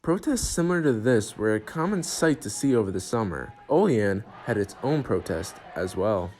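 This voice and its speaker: A man's voice: on the low side, big pitch swings, normal speed, music in the background. A man reporting as a student TV news journalist, reading scripted narration.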